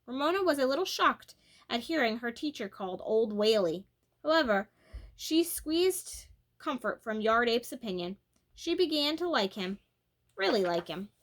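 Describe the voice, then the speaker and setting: A woman reading a children's book aloud in an expressive, animated and emphatic way. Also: on the high side, moderate pace. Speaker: storybook reader.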